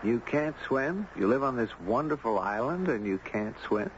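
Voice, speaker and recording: A man's voice: medium pitch, very animated, normal speed, lightly acted. An American actor playing a friendly, easygoing man with his dog in a 1970s radio drama, in a casual, conversational way. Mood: curious, surprised. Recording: dull, noisy old radio recording.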